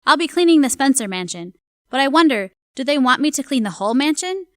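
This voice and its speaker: A woman's voice: sits high, big pitch swings, fairly quick, dry recording, clean studio sound. A woman playing a dutiful, nervous housemaid confiding in her journal in an audio drama, speaking earnestly. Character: dutiful, nervous young housemaid at a grand mansion.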